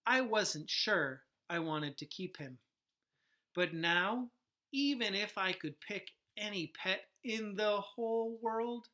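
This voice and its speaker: Woman, bright clear-cut tone, unhurried expressive storytime delivery, teacher reading to young kids, animated. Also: lowish voice. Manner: animated, acts out sounds, asks listeners questions. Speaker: teacher reading a picture book aloud. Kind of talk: expressive read-aloud for young children.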